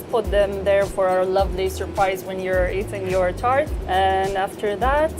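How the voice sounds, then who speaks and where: A woman chef walks through a recipe step by step in a measured, explanatory way, speaking at an ordinary pitch. Her tone is gently encouraging as she talks in a kitchen. Music plays clearly behind her. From eastern Saudi Arabia. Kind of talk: cooking demonstration with calm step-by-step instructions. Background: background music.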